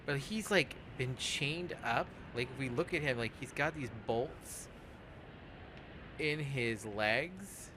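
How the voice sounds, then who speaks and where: A man hosts a casual let's play in a mid-pitched voice. He explains in a halting way, often doubling back on his words. Clear noise and game ambience sit behind him. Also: lively intonation. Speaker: let's play podcast host.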